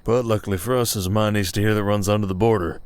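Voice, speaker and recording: A man's voice: fairly low pitch, steady tone, fast talker, darkish tone, clean tone, natural voice. A man playing a weary, protective werewolf sheriff of the Old West in an ASMR roleplay, with a folksy American Southern accent and a hesitant storytelling manner. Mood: urgent, hushed.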